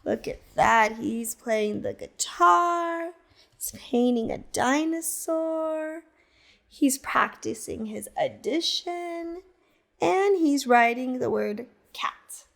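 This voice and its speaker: Woman librarian for a kids' read-aloud, high and very animated, unhurried pace. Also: creaky. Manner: slow, expressive storytelling that asks the listener questions. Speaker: teacher librarian. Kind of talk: reading a picture book aloud to children.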